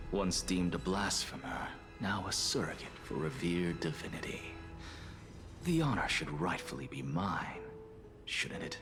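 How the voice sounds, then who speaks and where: An actor playing a proud, sardonic heretic scholar in a video game, with a haughty, dry, theatrical delivery. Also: male voice, low-pitched, big pitch swings, a little slow. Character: proud, sardonic male heretic scholar, aloof and self-assured. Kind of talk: scripted video game voice acting. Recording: loud game music behind.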